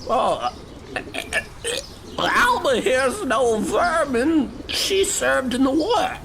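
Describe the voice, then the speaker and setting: A woman playing a flustered, emotional pigeon feeder in a radio play, speaking haltingly over a noisy recording with birds. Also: high voice, sing-song, gravelly, exaggerated voice. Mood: wistful, defensive. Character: flustered, tearful pigeon-feeding woman defending her birds.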